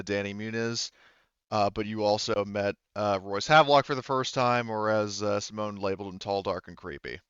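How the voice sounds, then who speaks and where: A man running a tabletop roleplaying game as game master, recapping in a halting way as he thinks aloud. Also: on the low side, a bit bright, clean tone, dry recording, muffled recording.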